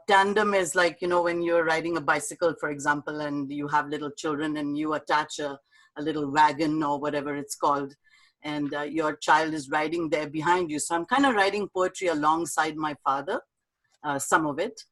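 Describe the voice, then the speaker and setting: Woman speaker on a video call, gentle and halting, reads her poem, then answers questions. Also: deep voice, steady tone, poor audio. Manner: soft, halting, warm storytelling with fillers. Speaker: speaker at an online talk.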